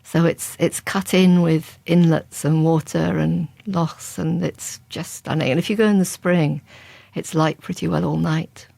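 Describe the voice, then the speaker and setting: Gravelly, low-voiced woman author. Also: fairly even intonation, a little slow, dark tone, no room sound. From England. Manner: reflective and conversational, with frequent restarts. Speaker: crime writer. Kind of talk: interview guest.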